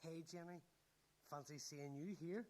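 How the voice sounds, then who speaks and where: A woman's voice: low voice, a little slow, warm tone, slight background noise. A woman acting in a radio play as an easygoing teenage schoolboy who banters and hesitates as he pesters a classmate. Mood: sheepish.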